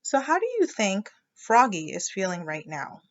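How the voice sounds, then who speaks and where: A woman teacher reading a picture book aloud to children, explaining expressively and pausing to check understanding with questions. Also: mid-range voice, wildly swooping pitch, lo-fi sound.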